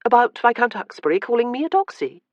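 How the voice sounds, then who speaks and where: A man's voice: extremely high pitch, big pitch swings, fast talker, no echo, dull audio, voice pushed up. A man voicing a young woman teacher, composed though hurt, speaking earnestly and reflectively.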